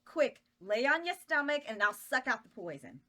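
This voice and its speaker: Man putting on a fussy nurse, falsetto, bouncy. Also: sing-song, normal speed, pushed and bright, heavily acted. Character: fussy young woman nurse.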